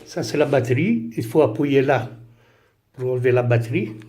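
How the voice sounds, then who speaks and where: A man's voice: medium pitch, moderate pace, dark tone. A man whose first language is Italian, talking through a camera monitor setup in a casual, hesitant way with many fillers and restarts. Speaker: vlogger reviewing camera gear. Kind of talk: casual product tutorial.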